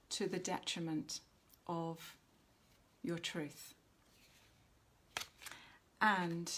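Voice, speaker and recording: A woman hosting a live spiritual oracle reading, speaking to viewers in a measured way with frequent pauses mid-sentence. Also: on the low side. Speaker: host of a spiritual card reading.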